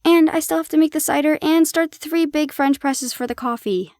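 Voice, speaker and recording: A woman acts a harried, loving young girlfriend in an audio roleplay. Her voice is high and expressive, with a teasing, coaxing way of talking. The recording is close and dry. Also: brisk, no echo. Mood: stressed, exasperated.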